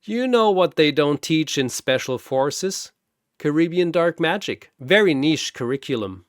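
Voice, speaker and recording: A man's voice: a little high, lively intonation, measured pace, no room sound, clean studio sound. A man voicing a cocky, battered comic pirate with a smug, quippy manner in a told story. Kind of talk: storybook-style character voice.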